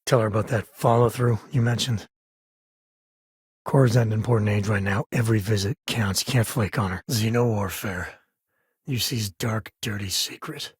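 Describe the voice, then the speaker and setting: A folksy, wry space-frontier ranger, dry studio sound. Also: male voice, lowish voice, normal speed, breathy edge, no echo. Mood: earnest, firm. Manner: folksy, laid-back drawl with dry wit. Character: rugged, wry, warm-hearted frontier ranger and devoted single father. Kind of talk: video game voice acting, companion dialogue.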